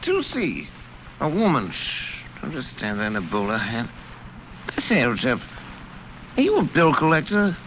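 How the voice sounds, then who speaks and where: An actor playing a rambling, drunk gentleman in a vintage radio drama, with slurred, sing-song speech. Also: medium pitch, wildly swooping pitch, unhurried, pauses often, a little hiss or hum. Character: drunk upper-class English gentleman, rambling. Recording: dull lo-fi old radio recording.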